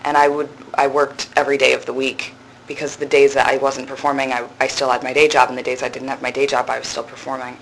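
A young woman in her twenties with a low, resonant voice, a drama graduate student answering interview questions thoughtfully, with frequent pauses and restarts. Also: little pitch movement, vocal fry, a little hiss or hum, poor audio. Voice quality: low voice with strong body resonance. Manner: thoughtful, hesitant, many fillers and restarts. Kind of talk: reflective conversational interview.

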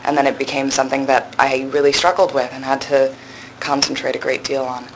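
A young woman in her twenties with a low, resonant voice, a drama graduate student answering interview questions thoughtfully, with frequent pauses and restarts. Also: level tone, slight background noise, poor audio. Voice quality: low voice with strong body resonance. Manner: thoughtful, hesitant, many fillers and restarts. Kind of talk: reflective conversational interview.

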